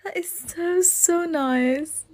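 A woman's voice: extremely high pitch, slow, darkish tone. Giggly Filipino-accented young woman streaming. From Philippines. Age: young adult. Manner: gushing, giggly, thanking viewers. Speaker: live streamer. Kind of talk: casual live-stream chat reading comments. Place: indoor room. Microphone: phone held close at arm's length.

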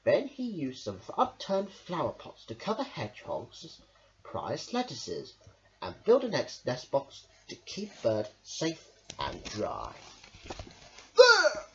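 A young male reading a children's storybook aloud in a deliberate, dramatic way. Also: mid-range voice, huge pitch swings, a little mellow, muffled recording, slight background noise. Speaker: storybook reader. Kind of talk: scripted children's story narration.

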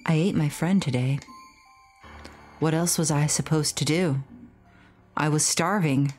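A woman voicing a starving, guilt-ridden schoolchild reading out a confession in a horror game, deliberate and sorrowful. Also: low-pitched, darkish tone, soft background music, pitched below the performer's own voice. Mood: numb confession.

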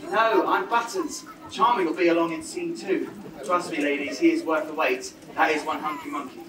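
A performer playing Buttons's part, a cheeky, excitable young male pantomime sidekick, bantering playfully with a live audience in an exuberant style. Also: medium pitch, normal speed, far from the mic, natural voice. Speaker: pantomime comic working the audience. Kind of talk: pantomime audience banter, shouted call and response. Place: on stage in a theatre. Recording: roomy theatre from afar, noisy audience. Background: live audience with children.

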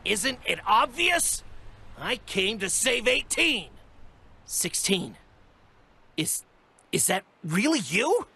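A woman's voice: mid-range voice, sing-song, slow, gravelly, pauses often, sharp tone, husky, exaggerated voice. A woman voicing an earnest, scrappy male martial-arts hero in an anime game, scratchy, boyish and urgent. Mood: puzzled, earnest. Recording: music behind the voice.

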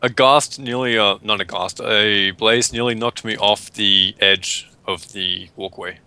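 A young Australian man with a low, bright and forceful voice chats casually over voice chat. He jokes about himself between game moments, talking through a headset. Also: steady tone, a little slow, clear, resonant tone. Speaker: player.

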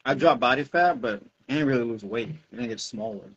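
A man's voice: medium pitch, lively intonation, a little fast, a little hiss or hum. A middle-aged American man, a fitness coach, explaining things informally in a casual video-call Q&A. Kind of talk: casual Q&A chat. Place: indoor room at home, video call. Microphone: wired earphones on a video call. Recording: dull, muffled video-call audio.

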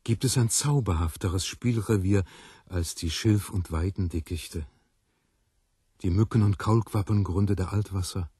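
A man reading literary prose as a scripted narration, with deliberate, measured phrasing. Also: sits low, dark tone, slightly rough, dry recording, studio quality. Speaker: reader.

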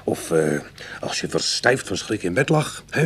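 An actor playing a proud, wry retired burglar in middle age who is easily goaded, in a Dutch radio play. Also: male voice, low-pitched, sing-song, measured pace, a bit bright, breathy edge, heavily acted. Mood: gently warning. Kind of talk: Dutch radio drama acting.

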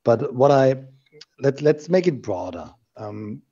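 A middle-aged man speaking in a measured, thoughtful way in a casual video call discussion. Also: medium pitch, expressive, mellow, husky. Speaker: panel guest. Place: indoor room on a video call. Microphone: desk microphone on a video call. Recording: dull lo-fi video call audio.

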